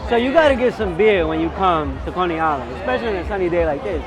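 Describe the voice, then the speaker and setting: High-voiced young New man, crowded outdoors. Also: expressive, quick pace, low-quality recording. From New York. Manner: playful, slangy. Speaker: street photographer, guest. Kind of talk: casual chat while out shooting. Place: outdoors at a busy urban beachside boardwalk. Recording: busy outdoor crowd and street noise.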